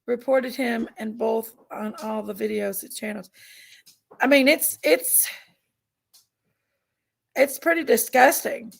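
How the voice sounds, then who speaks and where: A woman with a Southern American accent, raspy and congested from allergies, chatting casually on a livestream and reading out viewers' names. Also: on the high side, slow, pauses often. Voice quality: raspy, gravelly. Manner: drawling, reads out chat names. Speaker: livestream host.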